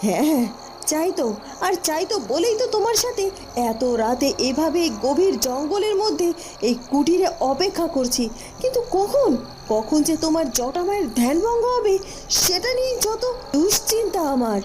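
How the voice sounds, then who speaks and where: Woman voicing a very high-pitched scheming noblewoman. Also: sing-song, normal speed, background noise. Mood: impatient. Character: scheming, impatient young noblewoman in fairy-tale cartoon. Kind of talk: Bengali cartoon voice acting.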